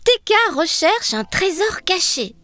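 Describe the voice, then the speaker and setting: A woman's voice: extremely high pitch, big pitch swings, unhurried, sharp tone, no room sound, exaggerated voice. A woman voicing an adventurous, curious young girl in a children's cartoon, speaking in an eager, exclamatory way. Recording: music under the voice.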